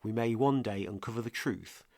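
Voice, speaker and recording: A man presenting a scripted true crime mystery podcast as an earnest investigator, with a measured, portentous delivery. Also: fairly low pitch, darkish tone, no room sound, clean studio sound, lightly acted. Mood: grave, intriguing.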